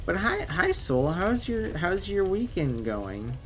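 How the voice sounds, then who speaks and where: Male streamer, French first language, slow casual delivery, excitable outbursts between chatting with viewers. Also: sits high, a little hiss or hum. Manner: bursts of exclamations, swears, chats with viewers. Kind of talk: casual live gameplay commentary.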